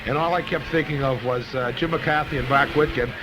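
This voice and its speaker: A man's voice: mid-range voice, steady tone, fairly quick, music in the background. A man hosting a casual sports call-in radio show, opinionated and emphatic, often repeating himself. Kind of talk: live sports talk radio, casual chat with callers. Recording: noisy vintage radio broadcast recording.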